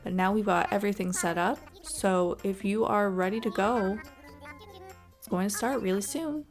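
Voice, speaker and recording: Chatty woman doing casual gameplay commentary, encouraging, informal, average pitch, bit of music behind her. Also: normal speed. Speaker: gaming video host. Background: background music.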